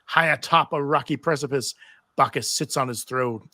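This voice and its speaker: A man's voice: medium pitch, moderate pace, bright, forceful tone, no echo, clean studio sound. A man narrating stage directions in a live table read of a TV script.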